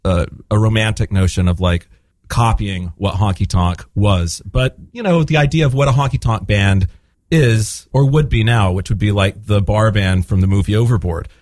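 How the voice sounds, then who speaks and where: A man's voice: fairly low pitch, normal speed, darkish tone, no room sound, clean studio sound. A man from Spain, a guest band member, chatting casually with a rambling, self-deprecating manner. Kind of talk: casual chat.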